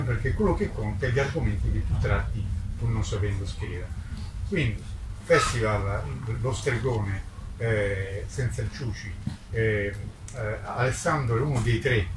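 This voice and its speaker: A man giving an informal public presentation, emphatic and polemical, wandering through hesitations and digressions. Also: mid-range voice. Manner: halting, digressive delivery with drawn-out hesitations. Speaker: book publisher presenting a literary festival. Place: live public book presentation, recorded from a distance. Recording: distant mic, echoey, clearly noisy ambience.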